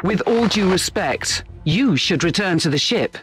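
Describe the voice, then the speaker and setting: A dutiful ship captain in a science fiction game. His high, lively voice is respectful and concerned, with faint music behind. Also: a little slow. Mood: concerned, deferential.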